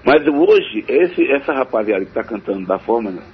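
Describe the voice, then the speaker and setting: Man, husky, hesitant and rambling, forró singer, dull radio sound. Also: medium pitch, very animated, normal speed. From Northeast Brazil. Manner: rambling, hesitant with many fillers. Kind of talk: radio interview answers. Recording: dull radio broadcast, faint music.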